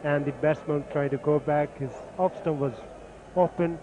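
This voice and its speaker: A man calmly explaining each delivery as the expert summariser on a cricket broadcast. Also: a little high, moderate pace, phone-quality sound, noisy background. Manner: calm, explains deliveries technically. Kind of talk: analytical commentary.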